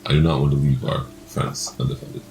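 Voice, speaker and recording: A man's voice: very deep voice, fairly even intonation, brisk, gravelly, a little mellow, roomy sound, faint music behind. A man playing a tabletop game, speaking partly in character with formal, stilted phrasing. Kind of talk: casual in-character game talk.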